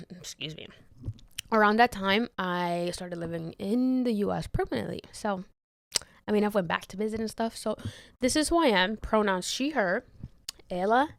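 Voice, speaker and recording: A woman's voice: medium pitch, dry recording, clean studio sound. A young woman from the Dominican Republic hosting her own podcast from her bedroom, chatting candidly with frequent swearing and restarts. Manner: candid, swears freely, trails off and restarts, laughs often. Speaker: podcast host. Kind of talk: rambling solo podcast chat. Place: bedroom, sitting on bed. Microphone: handheld mic.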